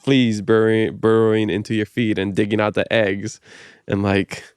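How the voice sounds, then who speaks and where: A man's voice: on the low side, level tone, normal speed, darkish tone, clean tone, no echo, clean studio sound. A man from Hawaii, whose first language is Hawaiian, hosting a podcast in casual, rambling chat full of "like" and "you know.". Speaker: podcast host.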